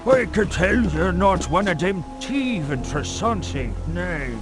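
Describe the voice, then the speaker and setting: A man's voice: sits high, animated, slow pace, music in the background. A man playing a wary, rustic old coastal local in a horror game, speaking in a suspicious, folksy dialect. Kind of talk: period horror game dialogue.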